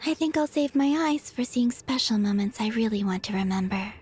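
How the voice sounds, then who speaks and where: Woman playing a gentle, higher-voiced serene moon maiden. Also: animated, fairly quick, slight background noise, natural voice. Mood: lightly amused. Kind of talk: video game voice acting. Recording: music behind the voice.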